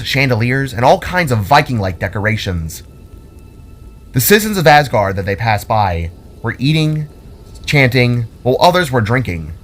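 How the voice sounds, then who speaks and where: A man reading scripted fantasy story narration in a dramatic, deliberate storytelling manner. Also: mid-range voice. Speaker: author and narrator. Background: music.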